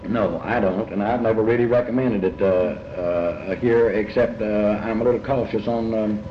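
A man with a Kentucky accent giving reflective, folksy interview answers as a novelist, halting and deliberate, often repeating his phrases. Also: fairly low pitch. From Kentucky, United States. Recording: muffled, noisy, echoey old tape.

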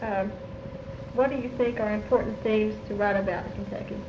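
A woman's voice: mid-range voice, steady tone, fairly quick. A woman asking interview questions as the interviewer. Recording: muffled, echoey, noisy old interview tape.

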